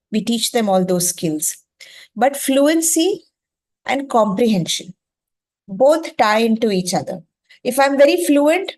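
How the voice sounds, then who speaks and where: A woman's voice: on the high side, darkish tone. A woman from India with an Indian accent and Gujarati as her native language, giving an explanatory masterclass talk as an educator, emphatically repeating phrases and checking in with short questions. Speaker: educator leading a masterclass on reading.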